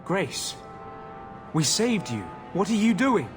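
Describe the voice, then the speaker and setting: A man's voice: high voice, big pitch swings, takes it slowly, soft background music, heavily acted. A man playing a kindhearted, remorseful young mage in a video game, hesitant and earnest. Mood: alarmed protest. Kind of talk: dramatic video game acting.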